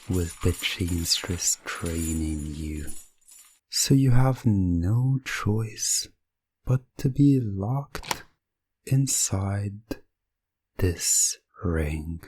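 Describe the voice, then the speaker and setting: A man narrating a scripted second-person ASMR roleplay in a deliberate, suspenseful way, breaking sentences into short phrases. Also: low-pitched, a little mellow, a little hiss or hum. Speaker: hypnosis audio narrator.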